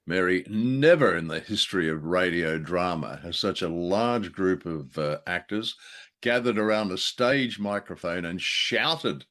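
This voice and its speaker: Low-voiced man co-hosting a podcast. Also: animated, unhurried, fairly bright tone. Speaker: podcast co-host. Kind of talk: casual review chat.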